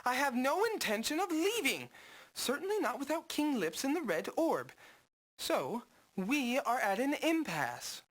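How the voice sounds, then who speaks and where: A woman's voice: high voice, very animated, measured pace, full character voice. A woman voicing a small, earnest young game hero who speaks formally and politely. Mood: resolute. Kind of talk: 1990s game voice acting.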